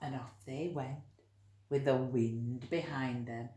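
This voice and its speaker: Expressive woman storyteller, slow and low-pitched. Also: reverberant. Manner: expressive, questioning, addressing children directly. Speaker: teacher reading a storybook to pupils. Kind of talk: animated children's storytelling with friendly asides.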